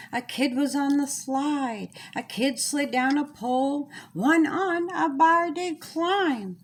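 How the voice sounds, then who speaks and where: A woman reading a children's storybook aloud in a deliberate, sing-song rhyming way with dramatic pauses, as the author. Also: fairly high pitch, a little hiss or hum.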